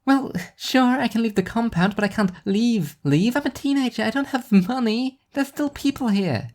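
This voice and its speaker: A woman's voice: on the high side, moderate pace, darkish tone, voice pushed up, natural voice. A woman voicing an angry, rebellious teenage girl, blunt and exasperated, in a story reading. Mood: exasperated, defiant.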